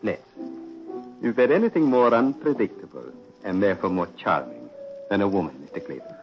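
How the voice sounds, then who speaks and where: An actor playing a courtly, sinister prince in a 1940s radio drama, speaking with formal, smooth politeness. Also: male voice, lowish voice, lively intonation, brisk. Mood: suave, courteous. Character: suave, courtly, sinister aristocratic prince, a strange man. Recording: muffled old radio recording, music behind.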